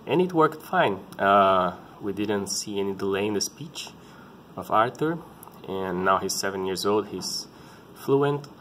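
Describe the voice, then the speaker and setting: Man in his thirties, Brazilian Portuguese accent, native Portuguese speaker using English. Low-pitched, earnest, halting delivery with frequent restarts. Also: lively intonation, fairly bright tone, a little hiss or hum. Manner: halting, earnest, often restarting to find words. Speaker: parent sharing his experience. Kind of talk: casual personal vlog talk.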